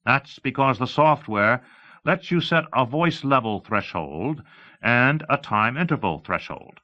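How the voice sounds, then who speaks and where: A man's voice: medium pitch, animated, unhurried, bright, forceful tone, voice pushed up. A man narrating an audiobook, voicing an eager, showy salesman with emphatic, carefully enunciated speech in slightly muffled audio. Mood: enthusiastic explaining. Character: eager, showy salesman demonstrating voice software to an audience. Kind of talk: audiobook character dialogue.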